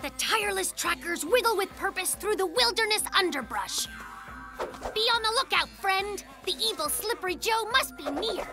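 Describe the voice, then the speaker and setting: Very high-pitched melodramatic cartoon bear cub. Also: female voice, expressive, moderate pace. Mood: grandly dramatic. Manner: melodramatic, overblown delivery. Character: excitable young bear cub playing at warriors. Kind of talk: children's cartoon dub acting. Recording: music behind the voice.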